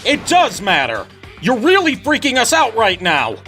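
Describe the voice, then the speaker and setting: A man voicing a rattled, indignant teenage schoolboy, loud and accusing, in an anime game fan dub. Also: very high voice, huge pitch swings, normal speed, soft background music, heavily acted.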